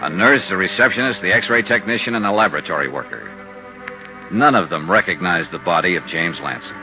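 An actor playing a hard-boiled, persistent insurance investigator in a 1950s radio drama, brisk, dry and insistent. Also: male voice, sits low, lively intonation, over music. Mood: dry narrating. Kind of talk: 1950s radio drama acting and first-person narration. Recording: noisy, lo-fi 1950s radio recording.

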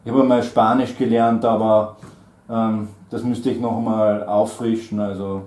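A man's voice: fairly low pitch, flat delivery, a little slow, darkish tone, echoey room. A middle-aged man, leading an oral language exam with many fillers and friendly laughs. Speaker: German trainer and examiner. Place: small indoor room at a table.

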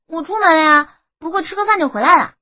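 A woman voicing a lively, nosy college student in an audiobook, excitable and chatty. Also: very high-pitched, quick pace, dry recording, voice pushed up. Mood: chatty, curious. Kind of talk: audiobook character acting.

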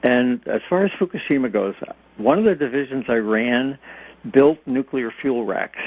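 A man's voice: mid-range voice, moderate pace. A man in his sixties, an expert guest on a radio interview, explaining things plainly with everyday comparisons over a phone line. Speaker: expert guest, former nuclear industry executive.

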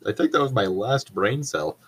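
A man's voice: on the low side, lively intonation, normal speed, slightly rough. A man bantering casually and reading aloud as a show host, with a sweary, exasperated manner.

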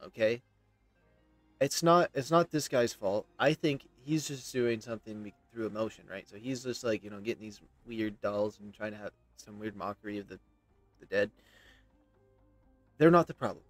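A man's voice: fairly low pitch, normal speed. A man in his thirties giving casual, opinionated, emphatic commentary as he plays a video game. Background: faint game music.

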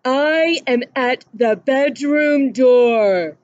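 A woman voicing a moaning ghost with a bloody finger, drawing each word out slowly. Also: high-pitched, highs cut off. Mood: eerie moaning. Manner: slow drawn-out moaning.

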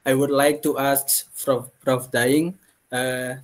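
Slow, hesitant young man asking a question. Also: medium pitch, little pitch movement. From Indonesia. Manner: polite and hesitant, with many "uh"s. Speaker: student. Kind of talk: asking a question in an online class. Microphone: laptop webcam on a video call.

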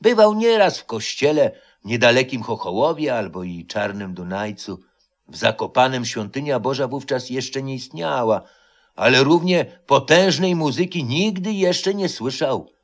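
Man playing a slow-spoken grey old highlander. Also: expressive. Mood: awed, stirring. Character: long-haired, grey old highlander telling a solemn legend. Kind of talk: storytelling, folk legend.

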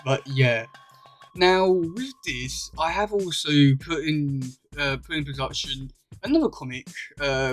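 A young man talking casually to camera as a YouTuber, enthusiastic but rambling and a little hesitant. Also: a little high. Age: young adult. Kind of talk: casual vlog chat to camera. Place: small indoor room at home. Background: music playing.

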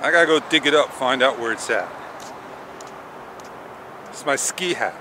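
A middle-aged man vlogging casually as he walks a park path in the morning, rambling along with pauses, his throat a little sore, recorded on an action camera held at arm's length. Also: medium pitch. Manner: rambling, relaxed, thinks aloud with many pauses. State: sore throat, sucking on candy. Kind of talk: casual walk-and-talk vlog. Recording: outdoor noise with traffic behind. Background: passing traffic and outdoor ambience.

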